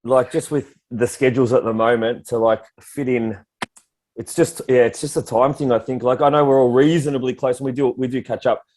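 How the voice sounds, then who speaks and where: Man, mid-30s, Australian accent, relaxed casual podcast co-host, easygoing fillers. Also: medium pitch, a little fast. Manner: relaxed, easygoing, many "like" and "you know". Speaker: prep coach podcast co-host.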